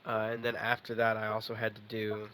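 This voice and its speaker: A man's voice: fairly low pitch, completely flat delivery, brisk, pushed and bright. A man giving casual, chatty gameplay commentary as a streamer. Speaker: game streamer. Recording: faint game noise behind.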